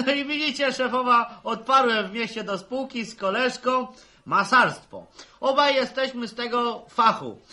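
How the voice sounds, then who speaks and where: A man's voice: very high voice, lively intonation, sharp tone, heavily acted. An actor playing a brash young village man hamming it up as a slick trader in a 1960s radio drama. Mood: cocky, wheedling. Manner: fast-talking, cocky, theatrical.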